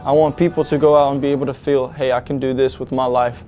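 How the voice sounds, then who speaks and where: A man's voice: mid-range voice, flat delivery, quick pace, warm tone. A young man, a student musician, answering interview questions in an earnest, enthusiastic, rambling way. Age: young adult.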